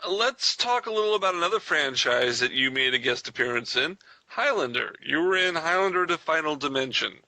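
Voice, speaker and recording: A man's voice: on the high side, expressive, brisk, hardly any pauses, sharp tone, no echo, poor audio. A man hosting a casual radio interview, introducing and putting questions to a guest. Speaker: radio show host.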